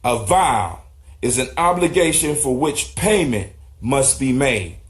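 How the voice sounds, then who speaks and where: A man's voice: medium pitch, lively intonation, takes it slowly. A man hosting a talk show, teaching in an informal, preacherly way with slang. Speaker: host of a discussion show. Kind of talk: teaching talk, reading and explaining proverbs to guests.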